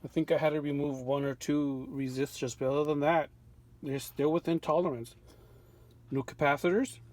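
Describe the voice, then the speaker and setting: A man giving an unscripted show-and-tell of his restoration work in a home workshop, relaxed and full of fillers and restarts. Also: on the high side, normal speed. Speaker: vintage radio restorer.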